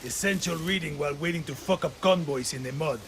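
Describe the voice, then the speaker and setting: A man's voice: a little high, brisk, vocal fry, slight background noise. A man voicing an eccentric, cocky older guerrilla weapons tinkerer in a video game, with a Latin American accent and playful, theatrical swearing. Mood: gleeful showmanship. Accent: Latin American Spanish.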